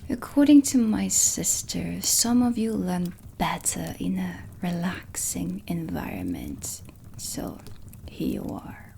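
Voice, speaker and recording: A woman playing a stern, impatient dark witch teacher in a soft-spoken ASMR roleplay, with a deliberate, commanding manner over ambient music. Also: on the low side, very animated, creaky, slight background noise.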